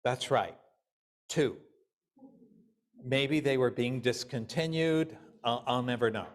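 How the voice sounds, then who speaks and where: A male minister delivering a sermon in deliberate, measured phrases with pauses. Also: mid-range voice.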